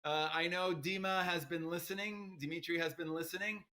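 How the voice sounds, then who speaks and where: A man's voice: high voice, fairly even intonation, fairly quick, pushed and bright. A middle-aged man hosting a competition livestream, chatty and joking, with lots of fillers and restarts. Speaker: host of a violin competition livestream.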